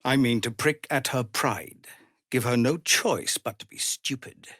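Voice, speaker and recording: Fantasy game refugee leader: husky, gravelly, weary and earnest, slow formal phrasing, grave bearing. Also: male voice, mid-range voice, fairly bright tone, no echo, studio quality. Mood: grave, resolute. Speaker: video game character voice. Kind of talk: dramatic fantasy game dialogue.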